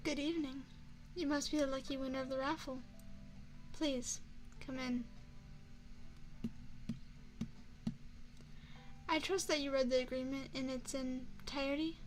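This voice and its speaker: A woman voicing a courteous female vampire chef and restaurant owner in an ASMR roleplay, speaking in a formal, measured, refined way. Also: high voice, fairly even intonation, soft background music, lightly acted. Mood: formal, welcoming. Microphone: binaural microphone.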